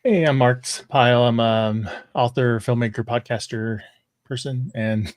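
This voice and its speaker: A man's voice: medium pitch, fairly even intonation, measured pace, no room sound, studio quality. A man speaking casually as a panelist, an author and podcaster. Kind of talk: casual self-introduction.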